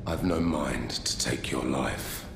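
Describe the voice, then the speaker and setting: An actor playing a stern branded warrior in a fantasy game, blunt, terse and menacing, with a weighty voice. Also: male voice, takes it slowly, creaky, noisy background, exaggerated voice. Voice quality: very deep, weighty. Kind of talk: fantasy game cutscene acting. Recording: roomy echo with music underneath.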